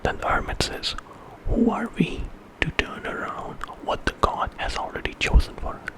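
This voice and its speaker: A man's voice: moderate pace, rough recording. A man tells a story entirely in a whisper. His delivery is ASMR storytelling, conversational and loosely improvised, lingering on dramatic details as he narrates.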